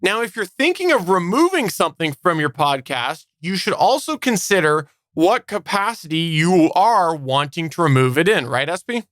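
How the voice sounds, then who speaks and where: Bright, animated higher-voiced man hosting a podcast. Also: moderate pace, few pauses, dry recording, studio quality. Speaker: podcast co-host. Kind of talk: semi-scripted explanatory podcast advice.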